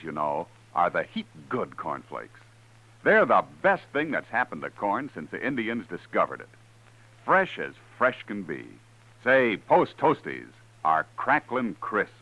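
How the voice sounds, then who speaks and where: A man's voice: fairly low pitch, wildly swooping pitch, slow pace, fairly bright tone, dry recording. A man announcing in 1950s radio advertising style, emphatic and salesman-like. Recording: dull, dry vintage radio recording.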